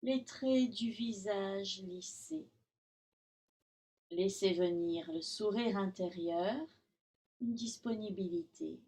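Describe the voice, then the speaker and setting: A woman yoga teacher guiding a relaxation session in calm, instructional short phrases with long pauses. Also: mid-range voice. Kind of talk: guided Yoga Nidra relaxation.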